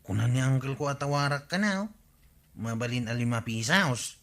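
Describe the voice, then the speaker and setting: A middle-pitched voice of a male actor plays a naive young nephew running errands. He is cheeky and wheedling, forever angling for a bargain in the style of comedy radio drama. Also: animated, moderate pace, close to an everyday voice.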